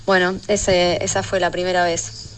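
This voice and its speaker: A woman's voice: on the low side, level tone, normal speed. A woman in her thirties with an Argentine accent telling a personal anecdote in a recorded voice message. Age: 30s. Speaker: listener sending an audio message to a radio show. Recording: noisy voice message with background ambience.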